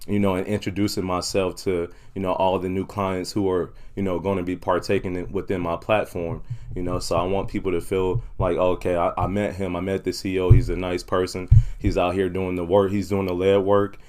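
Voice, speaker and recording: A man with a low-pitched voice speaks as an entrepreneur being interviewed. He is talkative and conversational, padding his sentences with frequent "you know"s in a casual, unscripted way. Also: level tone, fairly quick, few pauses, a little mellow. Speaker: podcast guest, entrepreneur.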